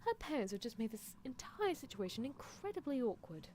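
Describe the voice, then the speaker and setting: High-voiced woman chatting on a livestream. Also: very animated, a little fast, warm tone, a little hiss or hum. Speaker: livestream roleplay performer. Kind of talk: casual improvised chat with viewers.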